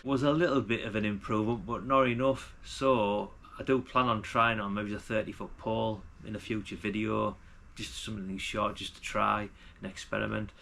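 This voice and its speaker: A man's voice: fairly low pitch, fairly even intonation, a little fast, vocal fry, bright, forceful tone, slight background noise. A man giving casual, unscripted hobbyist commentary in a chatty, rambling way, repeating himself as he goes. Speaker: radio hobbyist vlogger.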